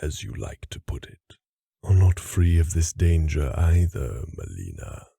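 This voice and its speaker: A man's voice: very deep voice, big pitch swings, unhurried, creaky, warm tone, a little hiss or hum, exaggerated voice. An actor playing a haughty, manipulative fae father with a cold, formal, condescending way of talking in a fantasy audio drama. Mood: cold menace. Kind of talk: fantasy audio roleplay acting.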